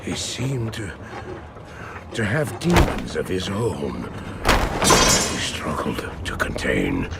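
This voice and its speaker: An actor playing a haunted, guilt-ridden man who recounts dark memories in a deep, gravelly voice for a game cinematic. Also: wildly swooping pitch, slow pace, pauses often, very noisy, full character voice. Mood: tormented. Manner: brooding, hushed, rising to anguished outbursts. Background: loud music score.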